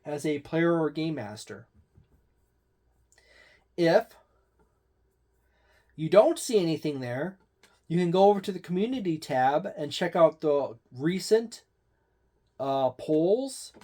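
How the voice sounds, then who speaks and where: A man's voice: on the high side, dark tone. A man hosting a tabletop game channel, giving a casual product review in a rambling way with many fillers and restarts.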